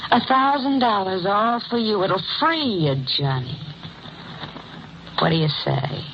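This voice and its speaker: Radio noir temptress: murderous wife, cool persuader, lively inflection, dull noisy vintage recording. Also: female voice, medium pitch, a little slow. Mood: tempting, insistent. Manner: cool, matter-of-fact, persuasive. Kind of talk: old-time radio crime drama acting.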